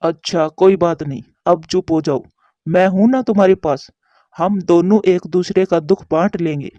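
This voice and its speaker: A woman's voice: fairly low pitch, moderate pace, dark tone, dry recording, muffled recording, close to an everyday voice. A woman voicing a caring, devoted male pigeon husband in a cartoon, with a concerned, reassuring manner. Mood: tender, reassuring. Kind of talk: Hindi cartoon voice acting.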